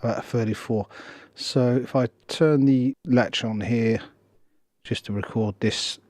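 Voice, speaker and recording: A hoarse male music producer talking through a screen tutorial step by step, thinking aloud in short half-finished phrases. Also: medium pitch, steady tone, unhurried. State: hoarse after a cold. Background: electronic dance music playing.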